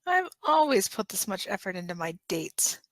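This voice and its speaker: A woman's voice: medium pitch, sing-song, moderate pace, no echo, lightly acted. A woman playing a whiny, defensive young man in an amateur fan fiction audio drama. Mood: indignant. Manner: whiny, indignant. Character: whiny, anxious, defensive young man, a lovestruck best friend.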